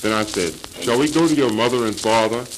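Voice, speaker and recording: A man's voice: mid-range voice, a little fast, natural voice. A man playing a hapless, henpecked husband in a mock-earnest, theatrical early 20th century comic monologue on an old disc recording. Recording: crackly, hissing 1939 78 rpm record.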